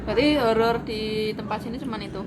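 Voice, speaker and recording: A woman asks questions in a casual chat, curious and teasing. Her pitch is a bit high. Steady background noise fills in behind. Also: fast talker. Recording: noisy background.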